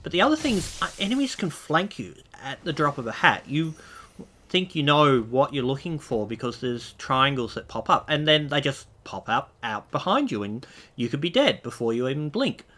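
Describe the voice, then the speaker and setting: A man's voice: mid-range voice, sing-song, moderate pace, pushed and bright. A man getting over a cold, sounding slightly nasal, gives a rambling, enthusiastic spoken game review. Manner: rambling and enthusiastic, with loose asides.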